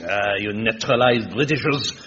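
An actor playing a pompous German chancellor who speaks mangled English with a heavy German accent, in a comedy sketch. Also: male voice, lowish voice, moderate pace, pushed and bright, dull audio, slight background noise. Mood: blustering. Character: pompous German chancellor, middle-aged man.

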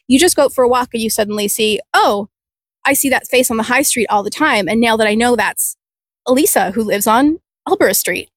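A Canadian-accented woman gives conversational interview answers. Her voice is on the high side and dryly recorded, and she is talkative and enthusiastic. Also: a bit bright, no echo. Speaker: community event organiser being interviewed.

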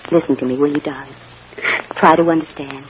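A woman's voice: low-pitched, fairly even intonation, brisk, close to an everyday voice. An actress playing a tender, distraught mother coaxing her child in an emotional old-time radio soap style. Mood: gentle, reassuring. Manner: emotional, coaxing, hushed endearments. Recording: muffled, noisy old radio drama recording.

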